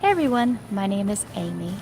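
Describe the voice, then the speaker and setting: A woman astrophotographer talking calmly and in a relaxed way about her hobby. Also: medium pitch, brisk, slight background noise. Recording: clear background ambience.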